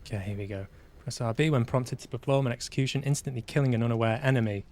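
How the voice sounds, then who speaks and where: A man's voice: medium pitch, fast, a little mellow. A man giving casual live game commentary, muttering to himself and reading prompts aloud. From Manchester, UK. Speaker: game streamer. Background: faint game music.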